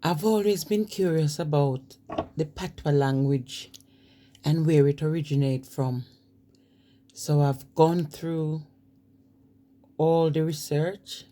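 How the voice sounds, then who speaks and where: A woman from Jamaica, giving an informal talk on language to camera and reading from her notes with pauses and restarts. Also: deep voice, mellow, a little hiss or hum. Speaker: vlogger.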